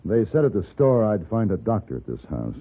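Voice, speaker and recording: An actor playing a calm, wry American gunfighter in an old-time radio western, measured and plain-spoken in narration and dialogue. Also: male voice, fairly low pitch, vocal fry, slightly rough, heavily acted. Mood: polite, surprised. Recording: tinny old radio broadcast with music.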